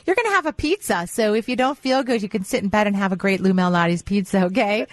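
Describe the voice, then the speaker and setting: A woman's voice: medium pitch, lively intonation, fast talker, dry recording, clean studio sound. A woman co-hosting a live radio show, chatty and informal as she runs a quiz. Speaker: radio show co-host running a music quiz. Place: radio studio. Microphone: close studio microphone.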